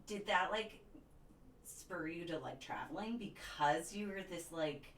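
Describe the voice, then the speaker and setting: A woman's voice: on the low side, unhurried, a bit bright. A woman hosting a casual chat, asking curious, eager questions. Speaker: host. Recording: roomy, distant mic with faint noise.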